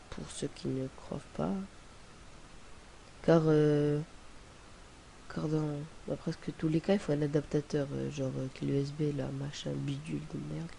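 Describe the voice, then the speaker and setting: A young man with a cold, talking through a casual screen-recorded software tutorial in a rambling, hesitant way. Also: on the high side, vocal fry, poor audio. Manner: rambling, hesitant, many fillers and restarts. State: has a cold. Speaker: tutorial presenter. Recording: faint hiss on home recording.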